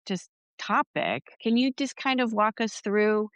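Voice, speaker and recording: Woman podcast host, smooth and clear voice, dry close sound, encouraging interviewer. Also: mid-range voice, lively intonation, measured pace, no room sound, dull audio. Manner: encouraging, gives short affirmations. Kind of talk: casual interview conversation.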